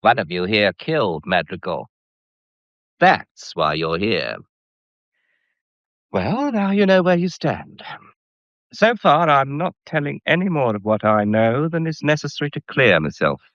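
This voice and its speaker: An actor playing an insolent, scheming English blackmailer in a mystery radio drama, talking with smug, deliberate menace. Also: male voice, mid-range voice, very animated, a bit bright, no room sound. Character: insolent, scheming male gallery employee turned blackmailer. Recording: dull, muffled radio drama recording.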